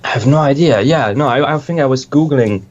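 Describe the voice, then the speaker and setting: A man's voice: medium pitch, fairly quick, darkish tone, highs cut off. A young man from Switzerland, a music producer, chatting casually in an interview, rambling and swearing freely with lots of restarts.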